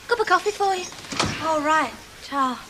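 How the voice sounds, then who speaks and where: A woman's voice: high voice, expressive, normal speed, background noise. A chatty landlady. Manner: chatty, homely, colloquial. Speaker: woman posing as a landlady.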